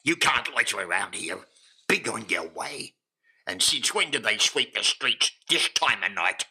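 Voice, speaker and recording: An actor playing a gruff, bullying henchman guarding a warehouse in an old-time radio drama. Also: fairly low pitch, expressive, a little slow, sharp tone, heavily acted. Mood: threatening, suspicious.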